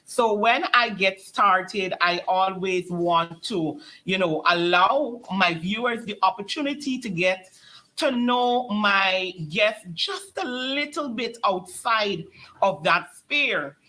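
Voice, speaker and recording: A woman hosting a talk show, asking polite, measured questions with plenty of fillers. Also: mid-range voice, lively intonation, bright, forceful tone, low-quality recording. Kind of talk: interview questions.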